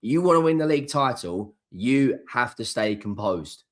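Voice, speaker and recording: A hoarse man hosts a solo football reaction, talking emphatically and often repeating key words for stress. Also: mid-range voice, animated, normal speed. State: hoarse, coming down with flu. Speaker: football channel host. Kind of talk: solo post-match reaction.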